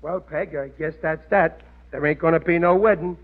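An actor playing a good-natured, gullible, middle-aged working-class American husband who blusters ungrammatically in a 1940s radio comedy. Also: male voice, fairly high pitch, steady tone, normal speed, close to an everyday voice. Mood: bewildered. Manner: blustery, ungrammatical everyman talk. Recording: muffled vintage radio recording.

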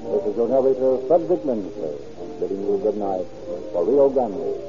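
A man's voice: lowish voice, animated, normal speed, music in the background. A man narrating and announcing in formal, scripted 1930s radio style. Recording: muffled old radio recording.

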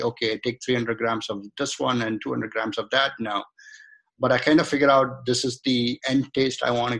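Gravelly middle-aged man from India. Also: mid-range voice, a bit bright, poor audio. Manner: rambling, many restarts and fillers. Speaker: guest, home cook and shaving-product maker. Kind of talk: casual interview chat.

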